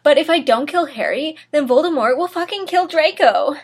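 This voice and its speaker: A woman's voice: high voice, animated, moderate pace, pitched above the performer's own voice. A woman voicing a melodramatic, foul-mouthed, angsty teenage goth girl in an indignant, overwrought manner for a comedic story reading. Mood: angry, anguished.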